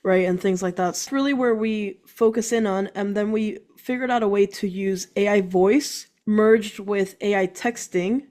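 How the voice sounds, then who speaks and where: A woman who is a guest on a business podcast, explaining her work quickly and enthusiastically. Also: medium pitch, a little mellow. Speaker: guest entrepreneur. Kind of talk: casual interview chat.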